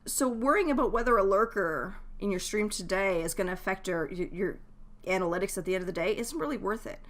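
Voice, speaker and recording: A woman's voice: medium pitch, expressive, brisk. A woman chatting casually and giving advice to viewers as a live game streamer, in a chatty, informal way.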